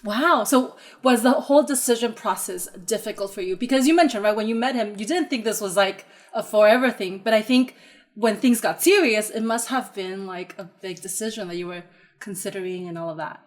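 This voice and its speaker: A woman's voice: medium pitch, a little fast. A woman co-hosting a podcast, asking friendly, upbeat questions and laughing often. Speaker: podcast co-host. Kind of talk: casual interview chat.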